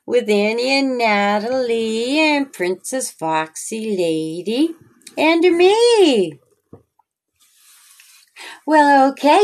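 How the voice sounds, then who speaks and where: A woman storyteller with a high voice reads a children's tale aloud. Her delivery is extremely unhurried and sing-song, swinging animatedly up and down as she addresses young listeners. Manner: sing-song, deliberate, expressive reading for children.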